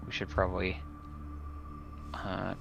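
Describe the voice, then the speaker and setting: A man's voice: sits low, completely flat delivery, takes it slowly, vocal fry, fairly bright tone, faint music behind. A man playing a tabletop roleplaying game, talking casually in a tentative, hedging way. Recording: dull, faintly noisy room recording.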